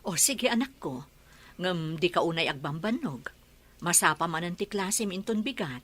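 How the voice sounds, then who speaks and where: A gentle, caring mother with an Ilocano accent speaks in a low, husky voice, played by a woman. She sounds motherly in this radio drama. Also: lively intonation, moderate pace.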